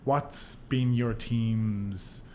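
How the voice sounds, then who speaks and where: A man's voice: medium pitch, steady tone, drawn-out words, warm tone, slight background noise. A man hosting an interview, asking long loose questions with restarts between short acknowledgements. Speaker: podcast host.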